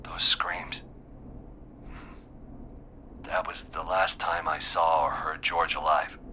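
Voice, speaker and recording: Wounded small-town man, forceful bright timbre, slow halting confession, weary, guilt-ridden, game drama, noisy call. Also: a bass voice, flat delivery, full character voice. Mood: grieving, guilty. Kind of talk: dramatic video game voice acting.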